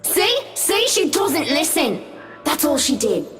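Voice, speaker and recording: A woman voicing a cruel, mocking two-headed female apparition in a horror game, taunting with drawn-out, venomous words. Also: high voice, sing-song, soft background music, exaggerated voice. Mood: scornful. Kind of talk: horror visual novel voice acting.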